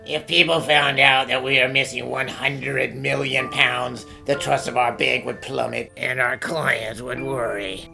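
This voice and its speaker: Woman voicing a stern, low-voiced perfectionist bank manager. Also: fairly even intonation, measured pace, gravelly, music in the background, exaggerated voice. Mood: stern, controlled. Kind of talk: amateur English fan dub of animated game dialogue.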